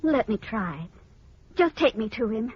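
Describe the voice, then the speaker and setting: Anxious pleading wife, muffled recording. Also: female voice, on the high side, very animated, a little slow, slightly rough, exaggerated voice. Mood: determined, pleading. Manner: earnest, dramatic, pleading. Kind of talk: old-time radio drama acting. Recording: muffled, hissy old radio recording.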